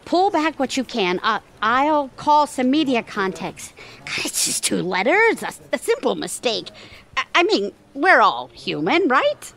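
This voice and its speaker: Woman playing sardonic older career woman, high-pitched, swooping delivery, exasperated, dry wit, radio comedy. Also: sing-song, a little slow, fairly bright tone, exaggerated voice. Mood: panicked. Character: harried sardonic older marketing executive wife. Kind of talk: audio theatre comedy acting.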